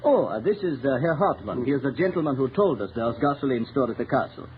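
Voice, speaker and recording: Casting: male actor for brisk courteous official, 1930s radio drama, animated and fast, dull vintage sound. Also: medium pitch, crowd noise, lightly acted. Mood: genial, brisk. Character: brisk, genial male government official in wartime. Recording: muffled 1930s radio recording.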